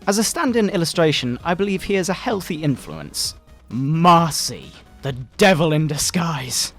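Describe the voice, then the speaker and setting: Man playing a pompous fundamentalist dad, animated higher voice. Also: exaggerated voice. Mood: outraged condemnation. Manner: pompous, theatrical, scolding. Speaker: video narrator. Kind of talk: dramatic satirical reading, sermon-like. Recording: music bed under the voice. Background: video game music.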